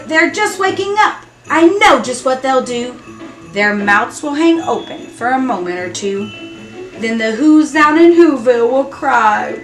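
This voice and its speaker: A woman's voice: high-pitched, heavily acted. A woman voicing a grumpy, gleeful male creature who hates Christmas, sly and mock-sweet in a storybook reading. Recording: roomy echo with music behind.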